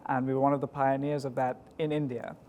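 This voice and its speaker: A man in his twenties with a southern English accent, giving measured, articulate and polite interview answers in a formal way. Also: mid-range voice, flat delivery, warm tone. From United Kingdom. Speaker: school executive, interview guest. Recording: echoey hall with background noise.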